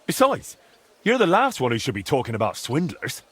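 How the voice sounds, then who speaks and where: An actor playing a determined young blacksmith hero in a video game, coaxing and firm, with a husky voice. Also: male voice, mid-range voice, huge pitch swings, brisk, a bit bright, heavily acted. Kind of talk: video game drama acting.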